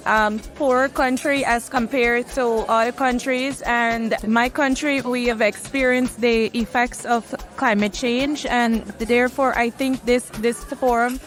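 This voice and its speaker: A woman's voice: on the high side, fairly even intonation, a little slow, hardly any pauses, faint music behind. Earnest woman from Ghana. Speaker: human resources practitioner. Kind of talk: recorded soundbite.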